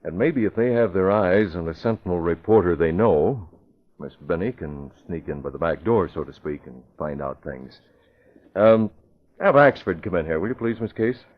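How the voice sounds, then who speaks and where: Young newspaper publisher, calm, measured, very low voice, tinny old radio sound. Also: male voice. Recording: thin phone-like old radio recording.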